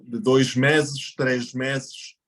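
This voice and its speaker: A man from Mexico, a native Spanish speaker, talking as a guest in a relaxed interview and explaining things slowly with frequent self-corrections. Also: mid-range voice. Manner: explanatory, thinks aloud with pauses and self-corrections. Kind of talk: casual interview conversation.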